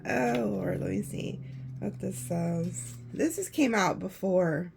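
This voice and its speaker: A woman with a hoarse voice, chatting casually through a tarot reading and laughing often. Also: mid-range voice, takes it slowly. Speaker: tarot reader.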